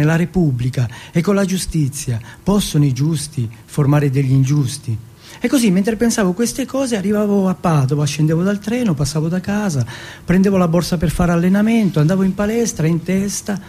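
A man from Turin performing a narrative theatrical monologue, reciting deliberately phrase by phrase. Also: sits high, dark tone, breathy edge. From Turin, Italy.

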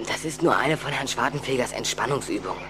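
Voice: mid-range voice, expressive, quick pace, noisy background, natural voice. A performer playing an earnest, hesitant young schoolboy in a children's audio drama, patiently explaining and reassuring.

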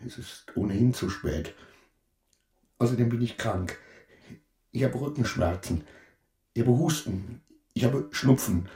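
An actor playing an anxious, self-pitying clerk who mutters agitatedly to himself in a radio play, swinging between dread and defiance. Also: male voice, mid-range voice, unhurried, long pauses, mellow, slightly rough. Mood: sullen, self-pitying. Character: anxious, self-pitying male clerk, brooding over his double.

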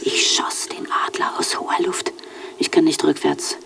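An actress playing a proud, defiant hunter in a radio drama, brooding and dramatic, sometimes dropping towards a whisper. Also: female voice, moderate pace, natural voice. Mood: brooding, boastful. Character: proud, defiant hunter who shrugs off wounds. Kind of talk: theatrical radio drama dialogue.

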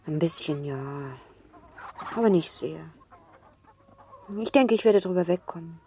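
A candid, self-assured teenage girl of good family in a radio play. Her voice sits low for a girl, and there is faint noise with birdsong and farmyard fowl behind. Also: animated, measured pace, mellow. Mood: breezy, assured. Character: self-assured seventeen-year-old upper-class girl, candid. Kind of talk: German radio drama acting.

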